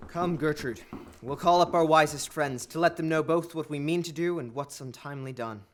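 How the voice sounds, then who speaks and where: A man's voice: fairly high pitch, normal speed, a little hiss or hum, close to an everyday voice. A man playing a troubled, commanding king in a Shakespearean audio drama, declaiming formally.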